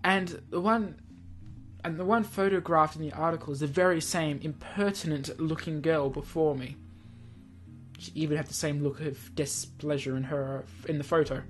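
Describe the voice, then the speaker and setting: A man's voice: fairly high pitch, fairly even intonation, moderate pace, soft background music. A man hosting a let's play, reading a visual novel aloud theatrically and adding casual, offhand asides. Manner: theatrical read-aloud mixed with offhand commentary. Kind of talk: game narration with casual asides.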